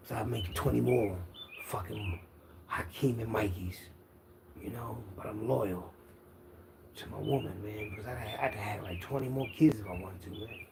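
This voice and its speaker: Philly guy in his 50s, gravelly voice, braggy slangy rambling, outside w some noise and birds chirping. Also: mid-range voice. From Philadelphia, United States. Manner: self-assured, boastful slang-heavy talk. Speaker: internet content creator. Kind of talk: casual rambling street monologue. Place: outdoors, birds chirping behind. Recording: outdoor background noise with birdsong.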